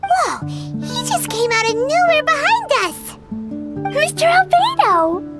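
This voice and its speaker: A woman voicing a tiny, excitable floating fairy companion in a video game, with a childlike, squeaky, exclamatory voice. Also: takes it slowly, loud music behind the voice, full character voice. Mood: eager. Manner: exclamatory, refers to self by name.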